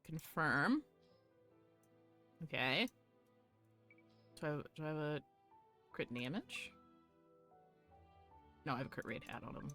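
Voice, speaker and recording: A woman streaming a game live, chatting casually with viewers in an animated, sweary, fan-like way. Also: low-pitched, slow, long pauses, faint music behind. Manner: foul-mouthed. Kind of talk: casual chat with viewers while gaming.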